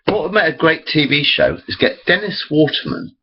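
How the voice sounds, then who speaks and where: Guy in his 40s rambling at his laptop cam, pitch all over the place, kinda raspy. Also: huge pitch swings, moderate pace, muffled recording. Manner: rambling, self-interrupting, chatty. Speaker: vlogger. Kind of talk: rambling webcam monologue. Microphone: built-in computer camera mic.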